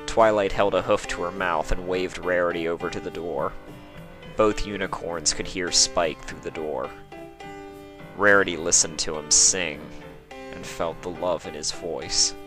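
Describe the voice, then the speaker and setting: A man's voice: low voice, fairly even intonation, unhurried, music in the background. A man reading scripted story narration as the narrator of a fan fiction.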